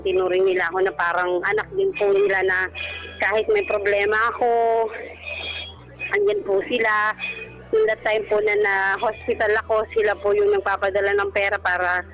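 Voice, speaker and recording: A Filipino woman calls in to a radio show with a mid-pitched voice. She speaks politely about personal matters, with music playing clearly behind her. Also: a bit bright, rough recording. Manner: polite, deferential speech. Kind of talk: personal phone-in talk. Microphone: phone call. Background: background music.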